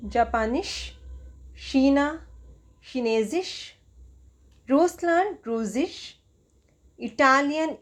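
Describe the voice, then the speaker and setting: A woman teaching a beginner German lesson, repeating each word slowly and deliberately. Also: fairly high pitch. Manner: slow, deliberate repetition of words.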